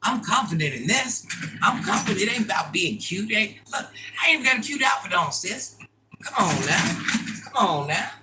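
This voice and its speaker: A middle-aged woman with a deep voice, chatting casually and bluntly as she answers viewer comments in a livestream. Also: very animated, moderate pace, noisy background. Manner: blunt, sassy, talks straight to commenters.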